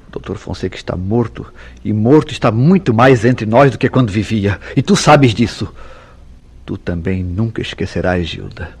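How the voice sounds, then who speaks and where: An actor with a Brazilian accent playing a guilt-ridden killer and bitter lover in a melodramatic radio drama, with theatrical, formal diction. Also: male voice, fairly low pitch, animated, normal speed, a little mellow, slightly rough. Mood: anguished, accusing. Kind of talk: old-fashioned melodramatic radio drama acting.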